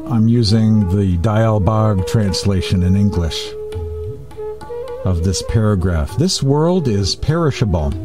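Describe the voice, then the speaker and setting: A man hosting a spiritual program, reading and commenting on devotional texts in measured, deliberate phrases with pauses. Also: on the low side.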